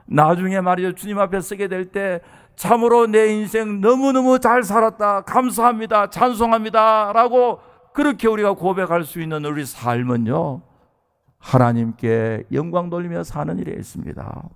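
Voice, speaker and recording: A man's voice: high voice, moderate pace, fairly bright tone, smooth. A man in his early sixties from South Korea delivering a sermon as a pastor, earnest and emphatic, addressing his listeners directly. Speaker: pastor preaching to a congregation.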